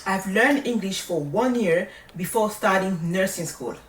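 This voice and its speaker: A woman's voice: mid-range voice, animated, a little slow. A woman with a French accent, a native French speaker, giving casual advice as a vlogger in a reassuring, emphatic way. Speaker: vlogger, nursing study coach. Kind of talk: casual advice talk to camera. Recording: roomy echo, distant microphone.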